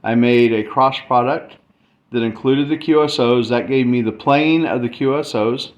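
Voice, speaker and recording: A man's voice: medium pitch, normal speed. A man giving an informal tutorial walkthrough, thinking aloud as he explains a demonstration. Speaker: explainer presenting a math and radio demo. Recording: dull lo-fi mic with faint background noise.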